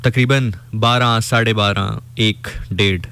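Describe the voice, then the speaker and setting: A man's voice: fairly low pitch, steady tone, takes it slowly, vocal fry, fairly bright tone, clear, resonant tone. Pakistani man telling a story on radio.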